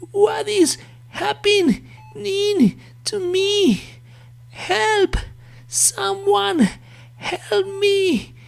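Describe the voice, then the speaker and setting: A woman's voice: extremely high pitch, vocal fry, voice pushed up, full character voice. A woman voicing a terrified, pleading middle-aged woman trapped in an old body in a horror story. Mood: screaming panic. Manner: pleading, panicked. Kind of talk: horror story character acting.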